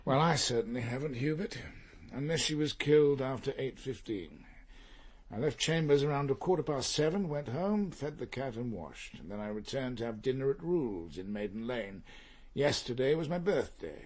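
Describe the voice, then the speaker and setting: An actor playing a fussy, prickly barrister, pompous and precise, in a radio crime drama. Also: male voice, mid-range voice, lively intonation, moderate pace, natural voice. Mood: prim, defensive. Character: fussy, prickly, solitary male barrister, set in his ways.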